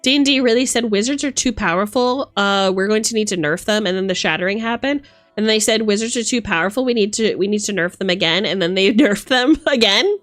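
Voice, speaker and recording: A woman's voice: mid-range voice, fairly quick, a bit bright, no echo. A woman doing casual livestream commentary as host, playful and theatrical, mixing gushing exclamations with dry asides. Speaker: streamer hosting a live book look-through.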